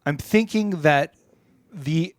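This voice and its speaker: A man co-hosting a comedy podcast, musing in a halting, mock-earnest way about absurd ideas in casual chat. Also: on the high side, dry recording.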